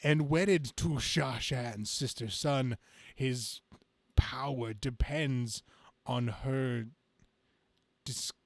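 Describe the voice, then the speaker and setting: Man acting out comic-book villain, gloating, grandiose, creaky voice, slow halting delivery. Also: medium pitch, dry recording. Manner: grandiose, halting monologue with stumbles. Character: gloating male comic-book supervillain scheming against humanity. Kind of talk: dramatic comic reading.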